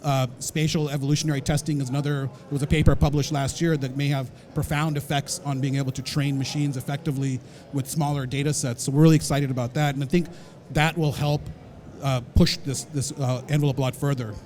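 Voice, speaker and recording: A man's voice: medium pitch, little pitch movement, brisk, a little mellow, a little hiss or hum. A man answering a moderator's question on a conference panel, fluent and technical with a few uhs.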